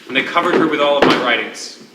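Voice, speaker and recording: An actor playing a grieving, defeated imprisoned poet in a stage drama, speaking in deliberate, drawn-out phrases with dramatic pauses. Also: male voice, medium pitch, natural voice. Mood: haunted grief. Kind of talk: theatrical stage acting, dramatic monologue. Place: theatre stage, miked from a distance. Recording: echoey, noisy stage recording from far off.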